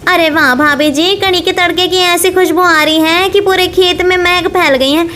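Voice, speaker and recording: Cheerful young village girl, extremely high voice, eager cartoon delivery played by a woman. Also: fairly even intonation, normal speed, sharp tone. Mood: delighted. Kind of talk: cartoon story voice acting.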